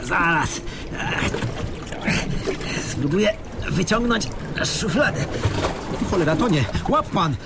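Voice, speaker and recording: A man acting in a radio drama as a sardonic, excitable castaway adrift at sea, with a sarcastic, animated delivery. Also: sits high, slow pace, long pauses, exaggerated voice. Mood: practical, animated. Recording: clear water sloshing noise behind. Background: lapping, trickling water.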